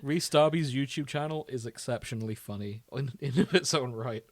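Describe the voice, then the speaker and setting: A man's voice: mid-range voice, moderate pace, dry recording. A man co-hosting a casual podcast, bantering and reading fan fiction aloud in a jokey way, often laughing. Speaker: podcast co-host.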